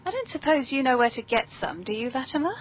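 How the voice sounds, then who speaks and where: A woman's voice: sing-song, fast talker. A British woman hosting a whimsical scripted comedy podcast in a genteel, very polite, playful manner. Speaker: podcast host. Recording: muffled, noisy low-quality podcast audio.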